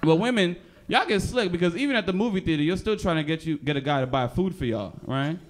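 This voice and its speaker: Animated young male stand-up comic. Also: a little high, fast talker. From Haitian background. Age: young adult. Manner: animated, acts out characters in exaggerated voices. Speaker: stand-up comedian. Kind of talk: stand-up comedy set. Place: comedy club stage.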